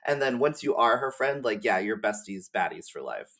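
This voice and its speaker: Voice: mid-range voice, animated, normal speed, a bit bright. Slangy, gushing podcast guest with mid-range voice. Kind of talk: casual chat.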